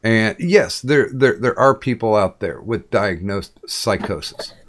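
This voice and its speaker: Slow, halting middle-aged man host. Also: lowish voice, animated, a bit bright. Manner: slow, halting, many fillers and restarts. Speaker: podcast interviewer. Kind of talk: casual interview chat.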